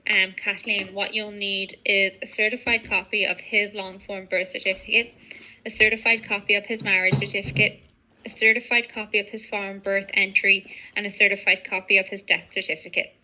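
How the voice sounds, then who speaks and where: A woman's voice: medium pitch, pushed and bright, poor audio. A woman working as a legal adviser, methodically explaining procedures to a client on a professional call. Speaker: legal adviser on citizenship applications.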